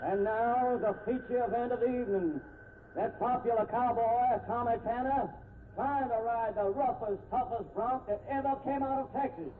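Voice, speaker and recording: A man's voice: very high-pitched, narrow, tinny audio. An actor playing a dramatic rodeo announcer in a 1950s radio drama, drawing out his phrases. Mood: hyping. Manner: declamatory, drawn-out phrases. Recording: distant, thin, noisy old radio.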